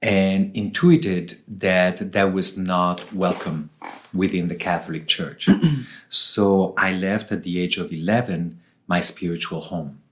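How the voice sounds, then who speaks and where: A man from southern Switzerland giving reflective interview answers as a guest, speaking deliberately in short phrases with pauses. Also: low voice. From Switzerland, southern part. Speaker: author and ayahuasca facilitator, interview guest.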